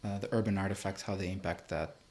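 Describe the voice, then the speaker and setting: Guy with a lowish voice in an interview, kinda halting, keeps restarting his sentences. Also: fairly even intonation, a little mellow. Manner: halting, repeats words while searching for phrasing. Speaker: filmmaker being interviewed. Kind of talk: interview conversation.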